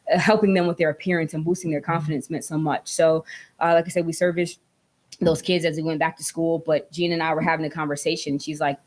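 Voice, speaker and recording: A young woman from Georgia addresses a city council during public comment. Her voice sits low and stays fairly level in pitch. She speaks earnestly and from the heart, checking in with "right?" and "you know" as she goes. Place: council chamber.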